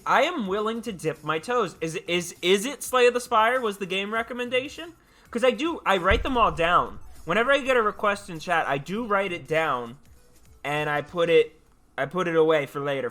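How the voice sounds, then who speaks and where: A man's voice: high voice, pushed and bright. A man chatting casually and playfully with live stream viewers, often repeating his words and phrases. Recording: music playing behind the voice. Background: background music.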